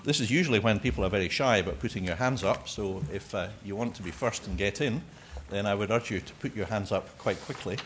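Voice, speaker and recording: Low, dry-humoured chairman. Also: fast, hardly any pauses, fairly bright tone, slight background noise. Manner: dry, wordy and jokey. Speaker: chair of forum session. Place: conference hall.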